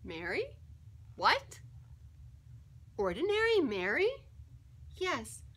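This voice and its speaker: A woman reading a children's picture book aloud in an expressive, deliberate and emphatic storytelling manner. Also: sits high. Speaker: story time reader.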